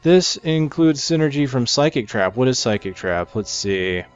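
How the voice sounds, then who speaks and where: Guy doing casual game commentary, medium pitched voice, thinking out loud, bit of music behind him. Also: expressive, normal speed, clean tone, muffled recording. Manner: conversational, thinking aloud, self-correcting. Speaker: gamer presenting a game preview. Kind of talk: casual gameplay commentary, explaining as they play.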